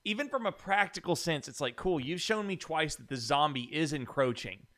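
A man's voice: fairly high pitch, moderate pace, a crowd in the background. A man chatting casually on a podcast panel, critiquing films with a sarcastic manner. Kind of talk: casual film criticism chat.

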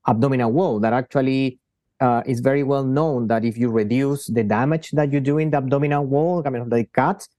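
A man from Chile speaks, answering interview questions as a surgeon and founder. His voice is mellow and smooth. He often stumbles, restarting and repeating words, and the sound is dry and close. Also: medium pitch, steady tone, a little fast, dry recording. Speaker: guest surgeon and company founder.

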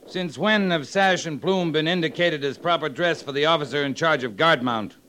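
An actor playing a stern, sardonic cavalry captain in a radio drama, speaking in dry, clipped, formal phrases. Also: male voice, a little high, normal speed, sharp tone. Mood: stern, wry. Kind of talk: 1950s radio drama acting. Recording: hissy vintage radio recording.

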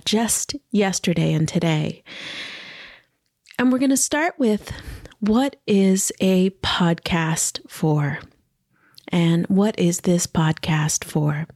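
A woman hosting her own podcast in a casual, reflective monologue, speaking softly and gently, her voice a little scratchy from allergies. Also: medium pitch, no echo, clean studio sound. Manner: slow, soft and gentle, with drawn-out pauses. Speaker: podcast host. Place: home by a river, indoors, wind outside.

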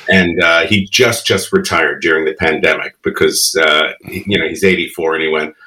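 Man, fifties, from the Bronx, Spanish first language, very low pitch, rambling storyteller. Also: fairly even intonation, normal speed, bright, forceful tone. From the Bronx, New York. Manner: rambling, reflective storytelling with frequent laughs. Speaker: guest. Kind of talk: casual interview chat.

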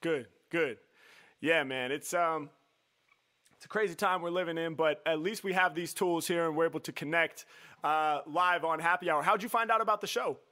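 A man's voice: fairly high pitch, normal speed, pushed and bright. A man hosting a live show and chatting casually with callers in a slangy, appreciative way. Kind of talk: casual chat with callers on a livestream. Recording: music playing behind the voice.

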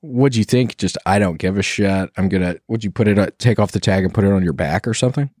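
A man's voice: on the low side, fast, darkish tone, no echo, clean studio sound. A man hosting a casual interview, asking curious, prodding questions. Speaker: talk show host.

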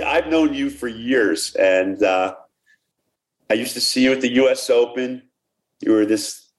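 A man hosting a sports podcast, welcoming guests and asking questions in a halting, filler-heavy way. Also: medium pitch. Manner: halting, many "uh" fillers and restarts. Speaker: podcast host. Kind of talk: casual podcast interviewing.

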